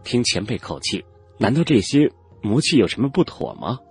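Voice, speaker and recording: A man's voice: mid-range voice, sing-song, moderate pace, slightly rough, soft background music, exaggerated voice. A man playing a cautious, deferential male cultivator in a fantasy audiobook, speaking politely and formally to his senior. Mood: curious. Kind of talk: Chinese fantasy audiobook character acting.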